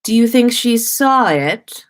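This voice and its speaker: A woman's voice: fairly high pitch, lively intonation, takes it slowly, pitched above the performer's own voice. A woman reads the part of a doting young dad in a story. The father is playful and teasing, scolding only in fun.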